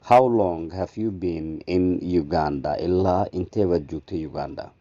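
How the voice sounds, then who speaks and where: A man teaching a language lesson who reads each phrase slowly and then translates it. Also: sits low, warm tone, no room sound. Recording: dull lo-fi audio.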